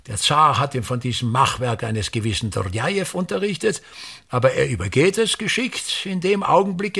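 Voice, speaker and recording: A domineering old theatre director in a radio play. His voice is raspy, forceful and fairly high for a man, leaping about as he declaims. He is pompous and obsessive, lecturing in fits and starts. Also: heavily acted.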